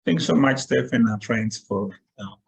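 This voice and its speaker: A man's voice: medium pitch, animated, unhurried, creaky, husky. A man from Mexico with a Mexican Spanish accent, a native Spanish speaker, opening a research talk over a video call. He speaks hesitantly and apologizes for his English. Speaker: researcher presenting. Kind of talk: conference talk.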